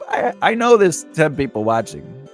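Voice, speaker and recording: A man's voice: fairly high pitch, very animated, normal speed, soft background music. A man in his forties, a writing teacher and editor, chatting casually and rambling with laughs during a live Q&A. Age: 40s.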